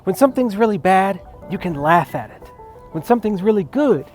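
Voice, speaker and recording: A man's voice: sits high, lively intonation, a little fast, darkish tone, soft background music. A man delivering scripted video-essay narration as the host of a film review.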